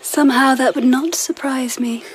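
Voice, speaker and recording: A woman in a radio drama playing a composed, curious Empress, with a husky, breathy voice and a measured, gently probing manner. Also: high voice, steady tone, slight background noise, lightly acted. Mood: cool curiosity.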